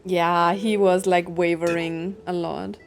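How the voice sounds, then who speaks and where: A woman's voice: on the low side, flat delivery, slow, slight background noise. A woman streaming her reaction to a story game, musing aloud in puzzled questions and reading lines out.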